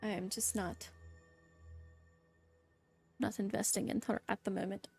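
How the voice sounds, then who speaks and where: A woman's voice: mid-range voice, steady tone, measured pace, creaky, a little mellow, husky, soft background music. A woman livestreaming a video game, giving casual, chatty commentary with playful reactions to what happens on screen. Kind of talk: casual live gameplay commentary.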